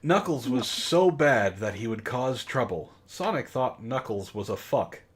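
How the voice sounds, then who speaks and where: A man's voice: medium pitch, animated, unhurried, husky. A man reading fan fiction aloud for comedy, mocking it and breaking off for sardonic asides. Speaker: book club host and reader.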